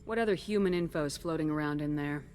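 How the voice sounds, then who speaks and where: An actor playing a calm military commander in a video game, asking measured, probing questions in a supportive way. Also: medium pitch, slight background noise, lightly acted. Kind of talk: scripted video game dialogue.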